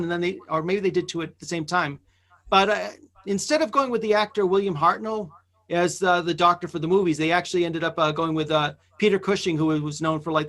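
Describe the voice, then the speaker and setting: A man hosting a podcast event, introducing it casually with a halting, hesitant delivery. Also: high-pitched, sharp tone. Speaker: podcast host.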